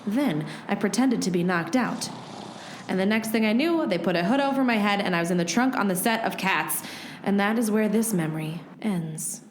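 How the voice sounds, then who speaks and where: A woman's voice: mid-range voice, lively intonation, moderate pace, slight background noise, natural voice. Detective's sidekick, played by a woman, earnest and plucky, natural radio-drama delivery, roomy echo with faint music. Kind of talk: radio play storytelling and dialogue.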